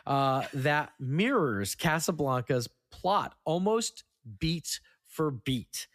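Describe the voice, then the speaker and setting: A man hosting a casual film podcast, running through trivia in a halting way and stumbling over words now and then. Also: fairly high pitch, very animated, no echo, clean studio sound. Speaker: podcast host. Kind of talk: casual film trivia rundown among co-hosts.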